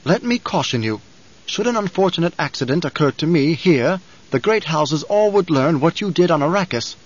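A man's voice: fairly high pitch, lively intonation, normal speed, breathy edge, voice pushed up. A male reader acts out a stern aristocratic envoy from an audiobook. He speaks in a formal, pointed way, probing and insinuating as he presses his listener. The sound is slightly dull. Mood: coolly threatening. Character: stern, probing male count, imperial envoy, veiled threats.

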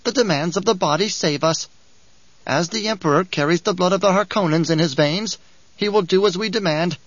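A man's voice: high voice, fairly quick, a bit bright, no echo, highs cut off, pitched above the performer's own voice. Bijaz, a man doing a whining, spiteful dwarf. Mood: mocking. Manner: whining, giggling, taunting. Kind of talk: audiobook character reading.

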